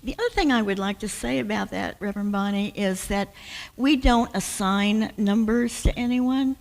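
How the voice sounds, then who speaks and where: Soft-voiced woman guest minister teaching gently, mid pitch, long hall echo. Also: normal speed, creaky. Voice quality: soft-spoken. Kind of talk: explaining a teaching on stage. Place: church sanctuary. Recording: hall reverb from a large sanctuary.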